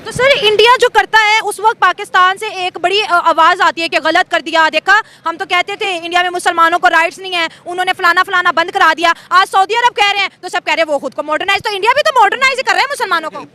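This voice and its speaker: A woman's voice: very high-pitched, fast, few pauses, sharp tone. A woman working as an interviewer, putting questions to people in a street interview. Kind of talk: street vox pop interview.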